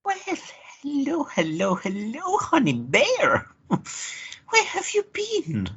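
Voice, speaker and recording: Woman acting a lusty, swooping high-voiced Irish seductress. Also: wildly swooping pitch, slow pace, a bit bright, highs cut off, exaggerated voice. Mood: coy, reproachful. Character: lusty, teasing woman seducing her lover. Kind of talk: radio play acting.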